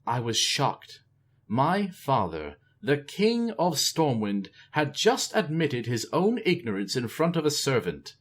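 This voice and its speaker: A man's voice: mid-range voice, huge pitch swings, slow pace, voice pushed up, full character voice. A man voicing an earnest young male king who searches for his words.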